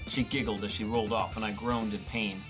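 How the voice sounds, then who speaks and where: A man's voice: on the low side, level tone, brisk, music in the background. A man reading a scripted first-person story as an audiobook narrator.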